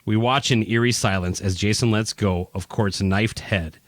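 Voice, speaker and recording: A man's voice: on the low side, steady tone, normal speed, very noisy. A man reading scripted narration for a horror radio play. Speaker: narrator, podcast host. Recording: music playing behind the voice.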